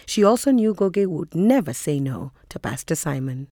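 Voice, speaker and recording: A woman's voice: deep voice, very animated, moderate pace, warm tone, a little hiss or hum. A woman reading scripted literary narration for audio fiction.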